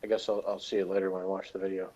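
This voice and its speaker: A man's voice: sits low, little pitch movement, very fast, dark tone, highs cut off. A man chatting casually as a guest on an online call, with dry, teasing banter. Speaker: guest co-host. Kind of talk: casual chat. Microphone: online video call.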